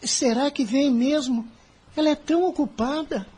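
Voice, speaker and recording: A woman's voice: sits high, normal speed, dark tone, close to an everyday voice. A woman playing an earnest, worried schoolboy from a poor family in an audio drama. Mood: worried, gentle.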